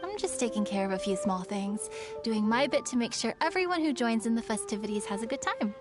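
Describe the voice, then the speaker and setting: Woman playing a courteous, fairly high-voiced organiser. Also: expressive, brisk, natural voice. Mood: welcoming, modest. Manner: polite, helpful. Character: courteous, modest, busy woman festival organiser. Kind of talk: video game character dialogue. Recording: music behind the voice.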